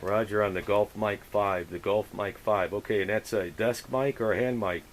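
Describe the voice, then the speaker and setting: A man's voice: lowish voice, steady tone, normal speed, heavy background noise. A man chatting casually over the radio as an operator, effusive and full of radio jargon. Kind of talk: casual radio contact chat.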